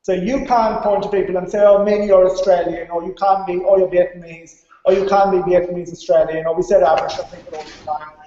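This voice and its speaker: A man's voice: sits high, fairly even intonation, fast talker, few pauses, dark tone. A man from India presenting at a conference, didactic, stressing key points and checking that listeners follow. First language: English. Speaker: conference speaker. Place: large reverberant conference room. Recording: very echoey hall, dull muffled sound.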